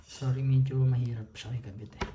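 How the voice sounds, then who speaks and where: Male Filipino vlogger for informal how-to: flat, narrow intonation, halting step-by-step delivery, roomy sound with faint noise. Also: medium pitch. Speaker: vlogger giving a product installation tutorial. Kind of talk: casual hands-on tutorial, mixing Tagalog and English. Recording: roomy echo, noticeable background noise.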